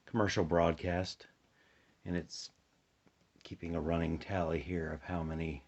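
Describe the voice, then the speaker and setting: An American man chatting casually through a hands-on demonstration, low-key and unhurried, thinking aloud and sounding a little sleepy. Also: husky. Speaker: hobbyist demonstrating a shortwave radio. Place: indoors at a tabletop, close to the camera. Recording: dull, muffled home recording.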